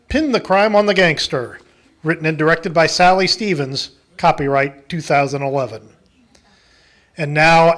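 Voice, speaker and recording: A man's voice: on the high side, a bit bright. A man reading scripted announcer narration for an amateur radio drama.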